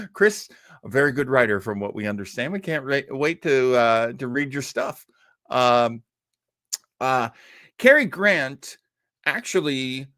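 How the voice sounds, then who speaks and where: An American man hosting a listening party with casual live commentary, chatty and halting as he rambles. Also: medium pitch, a bit bright, no room sound, studio quality. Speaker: host of an old-radio listening party.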